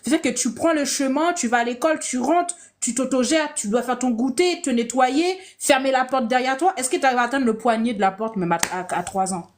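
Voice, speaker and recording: Higher-voiced woman host recapping questions. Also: fairly quick, hardly any pauses. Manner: recaps the story, asks probing questions. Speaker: podcast host. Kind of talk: interview questioning.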